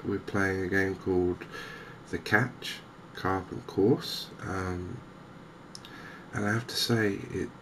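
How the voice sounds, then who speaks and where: A man giving softly spoken, gentle ASMR game commentary in an unhurried, hesitant way. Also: low voice, steady tone, background noise. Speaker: host of a cozy gaming channel.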